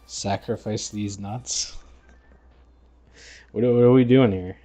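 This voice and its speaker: A man's voice: on the low side, fairly even intonation, slow pace, dark tone, faint music behind. A man chatting casually and slangily as he livestreams a game, half talking to himself. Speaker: livestreamer playing a text adventure game. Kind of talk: casual gaming stream chat.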